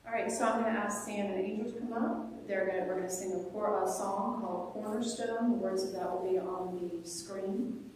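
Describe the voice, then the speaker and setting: A woman's voice: mid-range voice, fairly even intonation, normal speed, a little mellow, slight background noise. A middle-aged woman leading a church service, talking informally with fillers and praying aloud. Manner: informal and chatty with fillers between announcements. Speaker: worship leader. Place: large church sanctuary, indoors. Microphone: far camera at the back of the hall. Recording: echoey church hall from far off.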